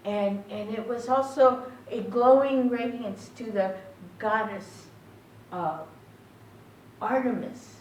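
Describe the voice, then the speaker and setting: A woman giving an informal Buddhist talk as a minister, speaking haltingly and pausing often mid-phrase. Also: a little high, darkish tone. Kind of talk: informal Dharma talk with short chanting. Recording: echoey, distant mic, faint background noise.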